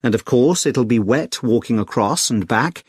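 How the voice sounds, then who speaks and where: A man with a British accent playing a friendly, helpful club secretary in a clearly enunciated scripted listening-test conversation, polite and explanatory. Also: mid-range voice, animated, moderate pace, no echo, clean studio sound. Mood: helpful, informative. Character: friendly, helpful male secretary of a conservation group. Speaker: actor in a listening test dialogue.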